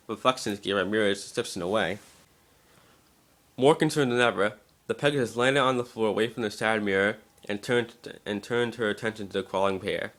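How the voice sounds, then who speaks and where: A man's voice: lowish voice, a bit bright. A man giving an amateur scripted narration of a story, now and then stumbling over the words.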